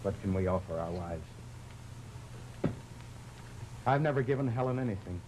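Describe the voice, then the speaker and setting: A man's voice: low voice, expressive, fast talker. A man playing a desperate, devoted, downtrodden husband in an old-time radio drama, speaking earnestly and pleadingly. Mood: anguished. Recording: noisy vintage radio recording.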